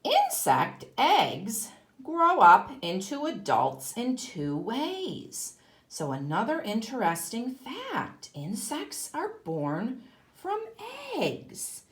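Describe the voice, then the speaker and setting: A woman teaching young children in a read-aloud lesson, explaining simply and deliberately, with emphasis and questions to the listener. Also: on the high side, huge pitch swings. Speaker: kindergarten teacher.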